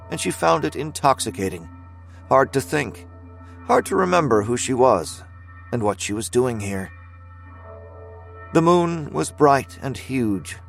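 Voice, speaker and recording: A man delivers scripted, dramatic fiction narration in a mid-range voice. His storytelling is measured, and soft music plays faintly behind him. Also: medium pitch.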